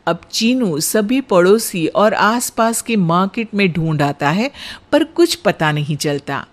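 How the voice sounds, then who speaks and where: Unhurried woman storyteller, lively intonation. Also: medium pitch. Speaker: narrator. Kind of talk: scripted storytelling narration for a cartoon.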